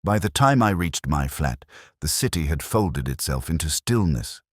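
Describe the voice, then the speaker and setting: A man voicing a melancholy older retired police inspector, narrating a literary detective drama in a measured, wistful, erudite way with wry touches. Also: rumbling low, big pitch swings, dry recording, studio quality, full character voice. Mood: brooding, wry. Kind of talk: literary first-person detective drama monologue.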